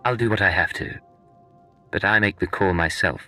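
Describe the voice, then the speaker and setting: Low, hard-edged secret agent. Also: male voice, normal speed, sharp tone, soft background music. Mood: guarded, businesslike. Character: curt, hard-edged male secret agent, guarded and menacing. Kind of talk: video game dialogue acting.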